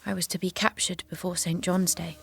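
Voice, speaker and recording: An actress playing a devout young warrior maiden in an audio drama, earnest, grave and restrained. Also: female voice, fairly low pitch, flat delivery, a little fast, gravelly, a bit bright, soft background music. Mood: solemn, confiding. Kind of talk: solemn audio drama acting.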